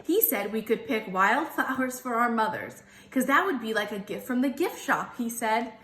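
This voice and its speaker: Female teacher storyteller: higher-pitched, expressive read-aloud for kids, recorded with noticeable room echo. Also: normal speed. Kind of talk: expressive children's storybook narration. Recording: roomy echo.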